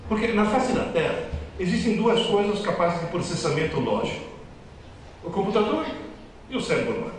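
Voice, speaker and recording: A man gives a joking, teasing lecture to an audience of students and parents. His fairly high voice swings widely in pitch as he tells his stories. The sound is very echoey and distant, as if caught from far back in a large hall. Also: fairly quick, slight background noise. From Italy. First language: Italian. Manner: joking, teasing, lively storytelling.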